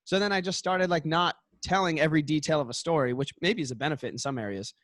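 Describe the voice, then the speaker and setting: Fast-talking man on a chat podcast. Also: on the high side, a bit bright, no echo, studio quality. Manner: talks fast, says he hurries to get through a point; lots of "like" and "you know what I mean". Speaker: podcast co-host. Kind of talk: casual chat.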